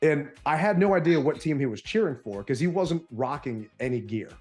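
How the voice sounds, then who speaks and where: A man co-hosting a sports podcast, telling stories in an animated, casual way, his voice a bit strained from yelling. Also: fairly high pitch, brisk, faint music behind. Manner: animated storytelling. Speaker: podcast co-host.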